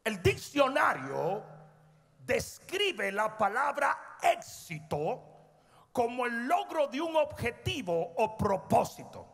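A middle-aged male pastor preaching a sermon on a large church stage, swinging from hushed asides to shouted peaks and calling on the crowd to answer. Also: extremely high pitch, sing-song, slow pace, vocal fry, breathy edge. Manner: prompts the crowd for responses. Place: large church stage before a seated audience. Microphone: handheld microphone. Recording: long hall reverb with faint music.

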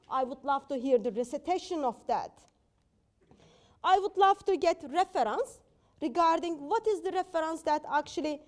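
High-voiced woman debating, non-native English. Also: a little mellow. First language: not English. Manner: assertive, challenging, non-native phrasing. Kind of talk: live debate rebuttal.

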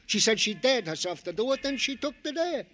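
An actor playing a chatty, jokey, doting police sergeant in an old radio drama, with a wordy, mock-formal manner. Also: male voice, very high-pitched, very animated, a little fast, pushed and bright, full character voice. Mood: reporting, wry. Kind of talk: 1940s-50s radio acting. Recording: noisy vintage radio recording.

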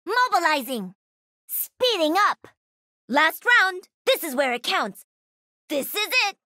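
A woman's voice: very high-pitched, very animated, slow pace, sharp tone, dry recording, clean studio sound, full character voice. A woman voicing a cocky, playful young gamer who pilots a mech in a video game, with punchy, teasing callouts. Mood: confident, upbeat. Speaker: voice actor.

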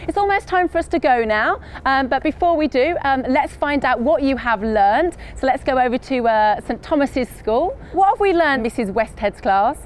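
A woman's voice: high-pitched, lively intonation, moderate pace, hardly any pauses, slight background noise. A woman in her thirties presenting a live online farm field trip for schoolchildren in an upbeat, encouraging way, recorded on a lapel mic in a polytunnel. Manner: upbeat, encouraging, addresses children.

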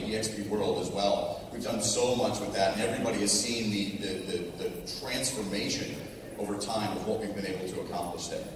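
Middle-aged American male keynote speaker, lowish slightly hoarse voice, conversational delivery, very reverberant noisy hall. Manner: conversational, restarts for emphasis, tags points with "right?". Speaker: technology executive, conference speaker. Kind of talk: informal keynote presentation to an audience. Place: large hotel conference ballroom stage. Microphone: PA heard through a distant audience camera. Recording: echoey hall, distant audience recording, noisy.

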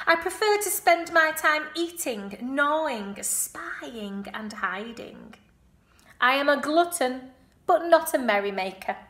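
A woman's voice: high voice, expressive, takes it slowly, fairly bright tone, echoey room, voice pushed up, exaggerated voice. Storybook rat, glutton, sour and sneering, voiced by a woman. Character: sour greedy male rat.

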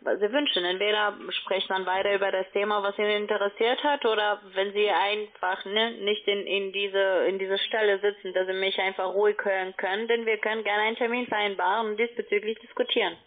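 A woman's voice: mid-range voice, flat delivery, a little fast, hardly any pauses. Non-native female call-centre agent, wordy and insistent, heard over a narrow phone line. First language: not German. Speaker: call-centre agent selling online trading. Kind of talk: phone sales pitch. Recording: tinny, band-limited phone line.

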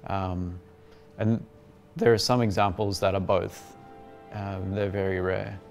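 Low gravelly young Australian man. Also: level tone, slow pace. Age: young adult. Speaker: film music competitor. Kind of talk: interview. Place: indoor room with curtains. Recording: faint music underneath.

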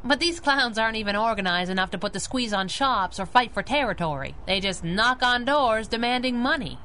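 A young masked crimefighting woman, protective and feisty, in a radio play. Her voice is bright and very animated, full of slangy, wisecracking tough talk. There is faint noise behind her. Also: on the high side, a little fast. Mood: angry, frustrated. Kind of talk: old-time radio drama acting.

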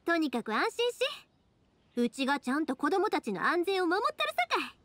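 A woman voicing a cheerful, big-sisterly young fireworks maker in an anime game, with a Kansai accent and a lively, reassuring, colloquial way of talking. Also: high voice, a little fast, dry recording. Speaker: video game character voice actor. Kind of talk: anime-style game dialogue.

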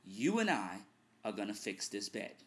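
A man's voice: on the low side, very animated, normal speed. A middle-aged man, a radio host, giving earnest advice straight to a webcam in his living room. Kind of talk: direct-to-camera video blog.

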